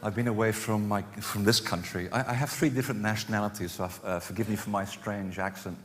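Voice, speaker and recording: A man's voice: fairly low pitch, fairly even intonation, fairly quick, fairly bright tone. A Portugal-born man giving a conference talk to a live audience, chatty and self-deprecating, with many fillers and restarts. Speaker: conference speaker. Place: conference hall. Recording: long hall reverb.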